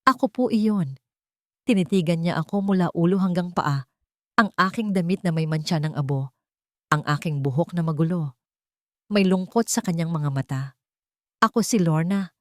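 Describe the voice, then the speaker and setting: A woman's voice: fairly low pitch, moderate pace, dry recording, studio quality. A woman with a Filipino accent reading scripted audiobook narration as a storyteller.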